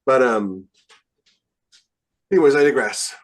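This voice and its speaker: Male veteran podcaster, mid-pitched, rambling and conversational, circles back on his phrases. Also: animated, a little slow. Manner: rambling, repeats phrase starts. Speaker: podcast host, military veteran and sci-fi writer. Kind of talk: casual podcast conversation with anecdotes.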